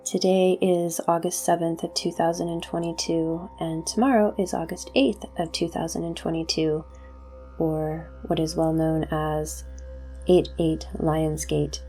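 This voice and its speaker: A woman hosting a guided meditation, introducing it as spiritual talk in deliberate, measured phrases. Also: sits low, level tone, warm tone, faint music behind.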